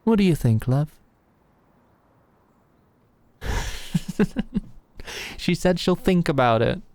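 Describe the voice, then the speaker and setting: A woman's voice: fairly low pitch, lively intonation, extremely slow, darkish tone. A woman playing a cocky, adoring young British businesswoman in a scripted audio roleplay, smug and teasing. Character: Alex, cocky, adoring young queer businesswoman celebrating with fiancée.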